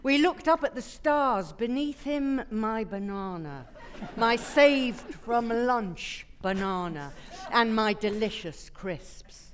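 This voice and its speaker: A woman's voice: fairly high pitch, lively intonation, takes it slowly, slight background noise. A woman actor reciting a comic poem on a theatre stage. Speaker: actor reading poetry. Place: theatre stage before an audience.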